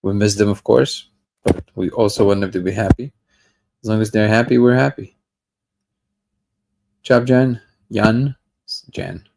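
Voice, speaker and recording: Gravelly low-voiced man hosting a livestream. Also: measured pace, pauses often, rough recording. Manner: relaxed, conversational, greets viewers by name. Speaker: livestream host. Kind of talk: casual live chat reading comments.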